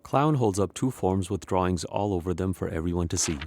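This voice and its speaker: A man's voice: deep voice, a little fast, darkish tone, slight background noise. A man acting as a neutral narrator, reading scripted stage directions in an audio play.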